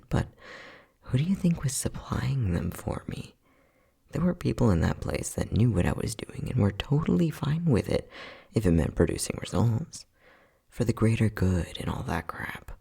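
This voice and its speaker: A man acting as an unhinged, playful and casually cruel scientist in an ASMR roleplay, flippant and sardonic, with a husky voice that often drops near a whisper. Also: mid-range voice, big pitch swings, normal speed, mellow, exaggerated voice. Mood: defiant, sardonic. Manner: flippant, sardonic, mock-soothing, muttering.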